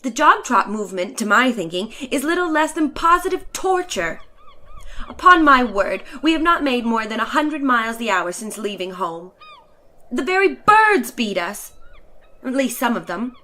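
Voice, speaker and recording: A woman playing a bored, haughty, chatty letter-writer on a balloon voyage, in a theatrical, drawn-out dramatic story reading. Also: a little high, sing-song, sharp tone. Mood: exasperated, dramatic. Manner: theatrical, drawn-out, exasperated sighing delivery.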